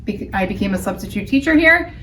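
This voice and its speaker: A woman's voice: mid-range voice, animated, quick pace, a bit bright. A middle-aged woman from New Hampshire with an American accent, a teacher answering interview questions casually. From New Hampshire, United States. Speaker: elementary school teacher being interviewed. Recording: roomy, distant mic, faint background noise.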